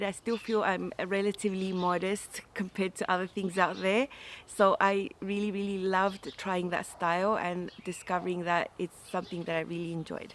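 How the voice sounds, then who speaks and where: Woman, forties, mid-range pitch, enthusiastic, informal vlog chat, noticeable background ambience. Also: slight background noise. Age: 40s. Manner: chatty, enthusiastic. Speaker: sewing vlogger and host. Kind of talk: casual chat to camera.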